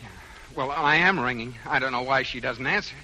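An actor playing a flustered, exasperated husband in a 1940s radio comedy. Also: male voice, mid-range voice, a little fast, sharp tone, low-quality recording. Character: flustered middle-aged husband. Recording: old radio broadcast with faint hiss.

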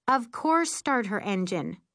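A woman voicing an excitable, sarcastic, anxious teenage girl in an audiobook. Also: mid-range voice, takes it slowly, no echo, clean studio sound. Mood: sarcastic, urging.

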